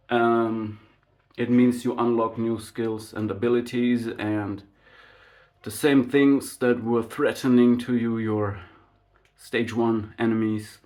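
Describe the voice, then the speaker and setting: A man whose first language is German, giving an unscripted spiritual monologue to his channel in a slow, reflective way, full of fillers and tag questions like "right?". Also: medium pitch. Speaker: spiritual vlogger.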